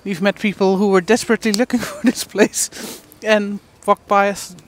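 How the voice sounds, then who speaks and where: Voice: mid-range voice, fairly even intonation, normal speed. A vlogger chatting casually while walking outdoors. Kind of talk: casual walking vlog chat. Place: outdoors walking in a snowy theme park. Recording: outdoor park noise.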